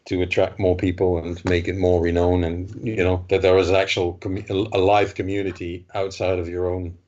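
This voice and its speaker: A man's voice: low voice, flat delivery, brisk, a little mellow. A man from the Netherlands, a model show organizer, talking in a casual podcast chat, hesitant with many restarts. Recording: dull lo-fi remote audio.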